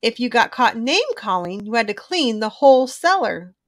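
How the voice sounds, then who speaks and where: A woman reading a children's storybook aloud in an expressive, emphatic way, drawing out key words. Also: a little high, moderate pace. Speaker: children's book reader.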